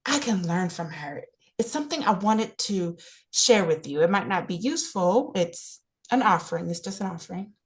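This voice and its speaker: An actress playing an earnest, talkative woman in a stage play read, speaking haltingly and insistently with repeated phrases. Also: on the low side, animated. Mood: gentle, sincere. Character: Ruthie, talkative, earnest, nosy woman offering unasked health advice.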